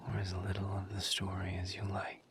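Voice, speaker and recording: A man voicing a gentle, patient misery demon in a breathy whisper, as an empathetic confidant in an intimate audio roleplay. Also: low-pitched, monotone, noisy background. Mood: gentle, consoling. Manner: slow, halting, soothing, with long pauses.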